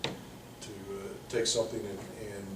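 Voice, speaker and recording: A man's voice: fairly low pitch, level tone, unhurried, noisy background. A middle-aged man giving a plainspoken public comment at a city meeting, with many fillers and restarts. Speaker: public commenter and tournament director. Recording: roomy distant meeting room audio.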